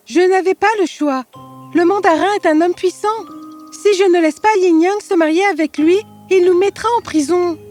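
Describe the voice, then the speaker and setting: A woman's voice: very high-pitched, moderate pace, a little mellow, pitched above the performer's own voice. A woman voicing a kindly, anxious old mother in a fairy tale, with music behind her. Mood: resigned. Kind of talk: fairy tale character acting.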